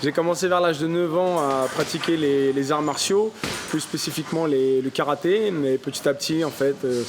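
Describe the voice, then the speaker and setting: A man's voice: on the high side, moderate pace, over music. A man in his thirties from the Paris region, a Thai boxing club co-founder giving interview answers in an explanatory way, with many fillers and restarts. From Paris region, France. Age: 30s.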